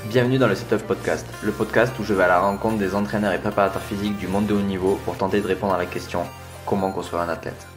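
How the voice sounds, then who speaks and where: A man's voice: low voice, steady tone, quick pace, few pauses, music in the background. A man hosting a podcast in a casual, hesitant way with fillers, introducing and interviewing a guest. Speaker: podcast host.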